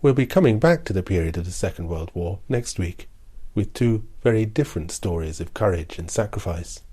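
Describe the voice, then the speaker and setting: A man's voice: sits low, expressive, a little fast, warm tone, breathy edge. A man presenting a radio series in a scripted broadcast style.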